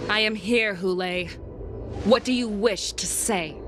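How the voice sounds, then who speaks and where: A woman's voice: mid-range voice, unhurried, lightly acted. An actress playing a confident, composed female general in a video game, speaking with authority and a sly, taunting edge. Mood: cool challenge. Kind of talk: video game cutscene acting. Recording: game music behind the voice. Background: game soundtrack music.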